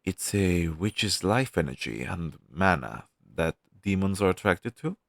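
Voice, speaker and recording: A man's voice: low voice, lively intonation, measured pace, gravelly, no echo, clean studio sound, heavily acted. A man playing a friendly demon crow familiar in a fantasy audio roleplay, talking to the listener in a conversational, reassuring, explanatory way with thoughtful hums. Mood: curious, friendly. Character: friendly male demon crow familiar advising a young witch.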